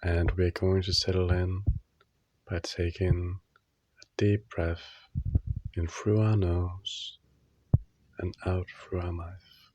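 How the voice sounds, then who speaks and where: Slow, low-voiced man guiding sleep meditation. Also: level tone, darkish tone, muffled recording. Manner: slow, soothing, instructional, with long pauses between short phrases. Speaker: guided meditation leader.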